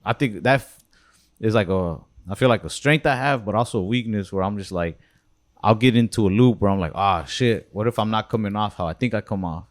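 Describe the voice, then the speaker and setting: A young man hosting a podcast, talking in a mellow, laid-back way with lots of "bro" and "like". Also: on the low side, brisk. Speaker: comedian, podcast host. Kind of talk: casual podcast chat.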